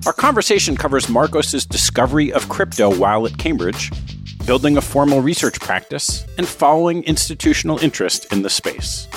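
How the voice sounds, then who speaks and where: A man hosting a podcast, reading a scripted introduction in a presenter's delivery. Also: a little high. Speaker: podcast host.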